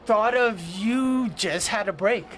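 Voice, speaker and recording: A woman doing loud, joking play-by-play commentary for a livestream from the press box of an outdoor stadium. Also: on the high side, slow pace, slight background noise. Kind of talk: live sports commentary.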